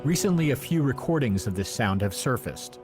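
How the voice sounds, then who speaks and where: A man's voice: medium pitch, expressive, normal speed, a little mellow. A man reading scripted documentary narration as the voice of an audio encyclopedia. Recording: music under the narration. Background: background music.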